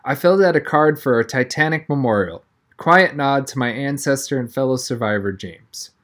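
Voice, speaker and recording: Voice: medium pitch, expressive, moderate pace, fairly bright tone. A reader narrating a first-person children's novel aloud in a conversational manner, as an audiobook.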